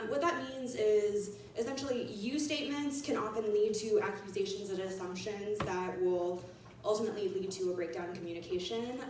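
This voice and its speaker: A woman's voice: mid-range voice, a little mellow, distant mic, a little hiss or hum. Woman talking casually in an echoey hall. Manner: casual, filler-heavy. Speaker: advocacy organization director. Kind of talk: laying out community agreements. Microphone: distant room mic.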